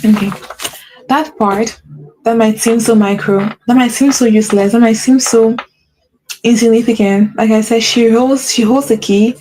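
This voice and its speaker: A woman's voice: on the high side, mellow, a little hiss or hum, rough recording. A woman hosting a solo podcast as a spiritual coach, speaking earnestly, repeating herself and checking in with the listener, with a slight stutter. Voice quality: mentions having a stutter. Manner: earnest, repetitive, with frequent "right?" check-ins and self-corrections. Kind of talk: solo motivational talk.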